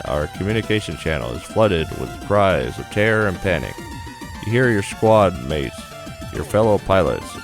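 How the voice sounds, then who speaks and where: Casting: male gaming host as story narrator, deep pitch, dramatic read over soft background music. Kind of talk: dramatic narration, reading a visual novel aloud.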